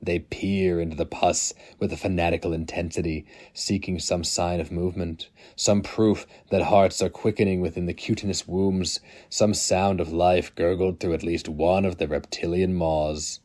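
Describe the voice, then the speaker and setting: Deep-voiced man giving a somber reading. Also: level tone, darkish tone. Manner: slow, somber, deliberate delivery. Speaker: narrator. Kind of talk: dramatic audiobook reading.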